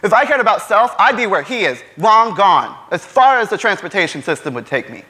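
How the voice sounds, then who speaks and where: A man's voice: sits high, very animated, fairly quick, sharp tone, voice pushed up, full character voice, rough recording. A man performing a stage monologue as a frustrated, defiant young working-class man, with impassioned, sarcastic delivery. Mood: bitter, exasperated.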